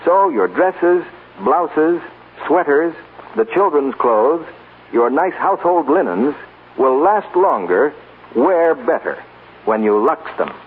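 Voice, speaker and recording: A man's voice: a little high, expressive, slow, slightly rough. An American man reading a 1940s radio commercial with earnest, polished salesmanship. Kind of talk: 1940s radio advertising read. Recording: tinny, noisy 1940s radio recording. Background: hiss and noise.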